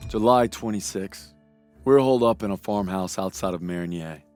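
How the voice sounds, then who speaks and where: A man's voice: low voice, normal speed, a little mellow, soft background music, natural voice. An actor playing a young American soldier who earnestly narrates his memories of war in a video game. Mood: reflective, earnest. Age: young adult.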